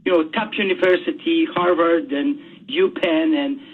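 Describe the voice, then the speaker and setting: A high-pitched man talks as a guest on a radio show, stopping and repeating words as he searches for them. His voice has a husky edge. It comes through a thin, tinny phone line. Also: lively intonation, moderate pace. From Bosnia. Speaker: author guest.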